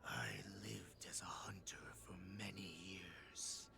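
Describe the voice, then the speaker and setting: Gravelly, fervent wolf warrior. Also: male voice, low-pitched, sing-song, takes it slowly, whispered, exaggerated voice. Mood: grim, reverent. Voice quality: gravelly, breathy. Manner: grave, dramatic, declamatory. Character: fierce, devout wolfish beast warrior ready to die. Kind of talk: video game voice acting. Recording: music behind the voice.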